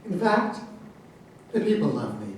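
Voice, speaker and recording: An actor playing a boastful, pompous incumbent president who taunts debate rivals in a satirical staged reading. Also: male voice, fairly high pitch, very animated, measured pace. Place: live staged reading in an echoey room. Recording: echoey room, distant mic, faint noise.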